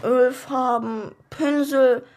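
A woman's voice: sits high, steady tone, very slow, darkish tone. A woman playing a precocious, blunt young boy in a children's radio play, with a matter-of-fact know-it-all manner.

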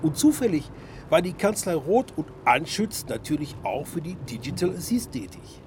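A man's voice: a little high, big pitch swings, normal speed, slight background noise. A man playing a dogged, sardonic amateur investigator in a naturalistic radio drama, colloquial and hesitant. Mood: bitter, sardonic. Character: dogged, sardonic ex-surveyor turned driver, investigating on his own.

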